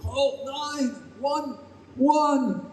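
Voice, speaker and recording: A man's voice: very high-pitched, very slow, a little mellow, exaggerated voice. An actor in a live radio play as a stubborn, anxious middle-aged husband and father, grumbling and earnest. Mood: alarmed. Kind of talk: live old-time radio play acting. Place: live stage at a convention. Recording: roomy, distant, faintly noisy stage recording.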